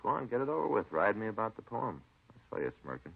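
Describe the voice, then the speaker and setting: A peevish, blustering policeman in a vintage radio drama. His low voice swings widely in pitch, touchy and exasperated. The old recording sounds lo-fi. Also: sing-song, fast, vocal fry, husky, full character voice. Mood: irritated. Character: touchy, exasperated male policeman, the detective's partner.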